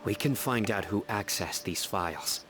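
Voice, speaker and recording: An actor playing a stern, composed young investigator in a video game, measured and serious. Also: male voice, on the low side, a bit bright, lightly acted. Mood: grave, determined. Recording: game music behind.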